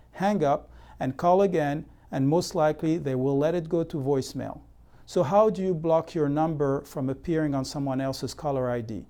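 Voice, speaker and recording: Mellow, higher-pitched man narrating a lesson. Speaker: presenter of real estate consumer education. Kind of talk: scripted instructional narration.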